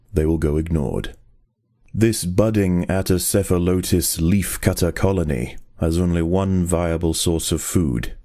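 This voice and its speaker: A man playing a solemn nature documentary narrator in a video game, measured, grave and formal. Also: sits low, warm tone.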